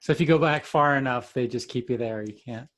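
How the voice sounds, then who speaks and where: A man's voice: mid-range voice, brisk, a bit bright. A male podcast host with a mid-range voice. He asks questions in a friendly, rambling way, restarting his sentences often. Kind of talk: casual interview hosting. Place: Florida.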